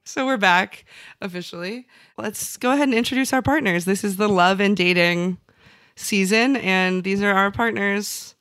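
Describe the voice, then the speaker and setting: A woman's voice: medium pitch, moderate pace, fairly bright tone. A woman with an American accent chatting casually as a podcast host. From central Illinois, United States. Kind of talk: casual chat.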